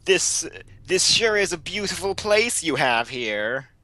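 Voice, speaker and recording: A man voicing an enthusiastic, polite young boy from a story, in a slow, mock-earnest way. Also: on the high side, big pitch swings, sharp tone, no echo, pitched above the performer's own voice, exaggerated voice.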